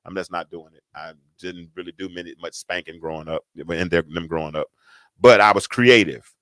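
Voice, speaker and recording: Deep-voiced, emphatic middle-aged man. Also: fairly quick, fairly bright tone, no echo, rough recording. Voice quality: deep, stentorious voice. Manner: emphatic, repeats words for effect, folksy asides. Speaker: talk show host. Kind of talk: casual storytelling to an audience.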